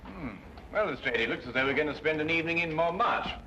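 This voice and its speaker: An English actor playing a brisk, impatient, commanding gentleman detective in an old-time drama. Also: fairly high pitch, highs cut off, a little hiss or hum. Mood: brisk, exasperated. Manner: urgent, clipped, deductive.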